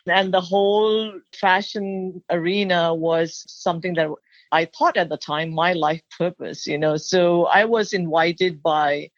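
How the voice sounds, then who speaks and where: A mature woman from Thailand telling her own story as an interview guest, animated and expressive. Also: on the low side, measured pace, no echo. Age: middle-aged or older. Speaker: guest, bridal designer. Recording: dull, lo-fi sound.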